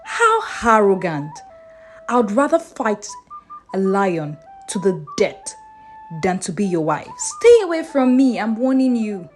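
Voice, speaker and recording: A woman's voice: mid-range voice, sing-song, takes it slowly, faint music behind. A woman voicing a bold, defiant young village maiden from a folktale, emphatic and challenging. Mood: indignant.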